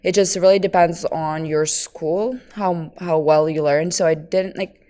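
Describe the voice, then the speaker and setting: A woman's voice: lowish voice, steady tone, unhurried, mellow. A young woman who grew up in Lithuania and speaks English as a later language, chatting as a podcast guest and laughing often as she tells stories. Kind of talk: casual interview chat.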